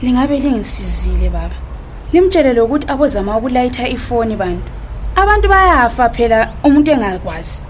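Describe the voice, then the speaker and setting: A woman's voice: on the high side, sing-song, fast talker, slight background noise. A woman with a Zimbabwean accent playing a worried, polite, burdened wife and mother in a radio drama. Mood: worried, complaining. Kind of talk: radio drama acting in Ndebele.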